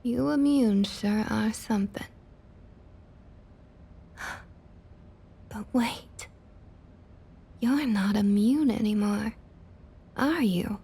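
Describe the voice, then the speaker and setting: A woman playing a smug, condescending vampire councilwoman in an ASMR roleplay, with a Southern US accent and a falsely sweet, breathy delivery that often drops to a near whisper. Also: mid-range voice, drawn-out words, long pauses, warm tone, full character voice. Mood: smug, taunting. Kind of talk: ASMR roleplay, intimate scripted monologue.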